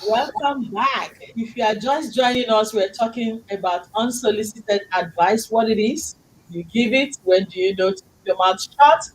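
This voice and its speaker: A woman's voice: fairly high pitch, moderate pace, a little hiss or hum, low-quality recording. A middle-aged woman hosting a talk show who speaks English as a second language, talking in an effusive, faith-filled, preachy way. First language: not English. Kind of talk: casual chat show hosting.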